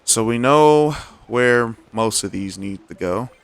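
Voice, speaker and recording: A man's voice: medium pitch, takes it slowly, clear, resonant tone. A man giving casual, slangy gameplay commentary, talking to himself and his viewers. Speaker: game streamer. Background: video game music.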